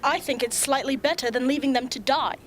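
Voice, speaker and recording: A woman's voice: sits high, fairly quick, natural voice. A woman playing a tough, dryly sarcastic rebel field commander in an amateur radio drama. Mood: dry, sardonic. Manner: dry, sarcastic.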